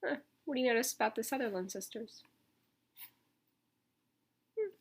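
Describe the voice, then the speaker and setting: A woman teacher reading a picture book aloud to children, expressive and emphatic, with casual asides to her listeners. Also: fairly high pitch, normal speed, slightly rough.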